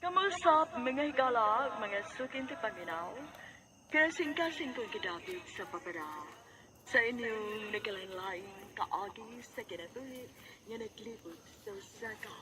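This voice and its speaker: A woman's voice: on the high side, expressive, unhurried, background noise. A woman with a Cebuano accent reading scripted closing announcements as the host of a radio drama program.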